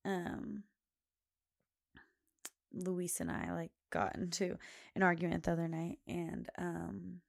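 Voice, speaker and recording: A woman hosts her own podcast, talking loosely and at length in a loud voice that carries. Her tone sits low, with a gravelly fry, and it sounds dry and close. She rambles through fillers and laughs often. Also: animated, slow pace, pauses often, dry recording. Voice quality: loud, carrying voice. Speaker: podcast host. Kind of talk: casual chat.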